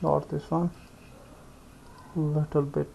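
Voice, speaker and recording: A man giving an unscripted step-by-step software tutorial, speaking haltingly and thinking aloud as he works. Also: a little high, level tone, creaky, background noise. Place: indoors, screen-recording voice-over. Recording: dull lo-fi voice recording.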